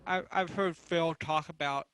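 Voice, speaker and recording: Guy moderating a panel, kinda high voice, casual, stumbles and repeats words. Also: fairly even intonation, a little slow, fairly bright tone, clear, resonant tone, rough recording. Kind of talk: casual chat.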